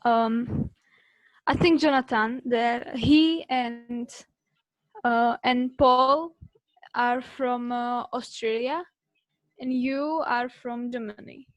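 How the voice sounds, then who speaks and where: A woman's voice: a little high, steady tone, slow, dry recording, rough recording. A woman joining an online chat, talking hesitantly and searching for words. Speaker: participant.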